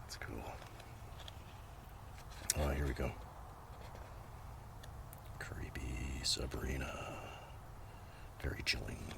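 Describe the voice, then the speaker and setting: A man speaking in a soft, casual ASMR ramble that often slips toward a whisper, with unhurried murmured asides. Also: rumbling low, level tone, vocal fry. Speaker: host of a comics collection ASMR video. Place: outdoors. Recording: noisy outdoor recording with breeze. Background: breeze, page flipping, ambient noise.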